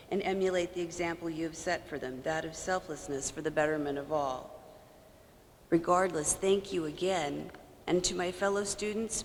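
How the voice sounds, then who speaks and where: A woman student delivering a formal prepared speech to donors, earnest with wry humor. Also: lowish voice. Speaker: student speaker. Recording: reverberant hall with faint room noise.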